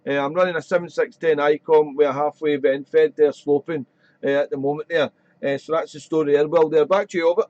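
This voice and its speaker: A man chatting on amateur radio from his radio shack, rambling and friendly, his voice slightly croaky. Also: on the high side, steady tone, brisk, darkish tone, no echo, lo-fi sound. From Wales. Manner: chatty, rambling, fills with "there". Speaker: ham radio operator. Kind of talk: casual radio chat.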